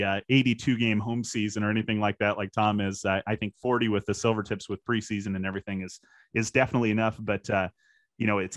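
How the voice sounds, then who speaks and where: A man's voice: fairly low pitch, no room sound. A middle-aged American man talking casually and reflectively as an interview guest, often dropping in "you know". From US, born in California and raised in Washington state. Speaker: podcast guest, sports PA announcer.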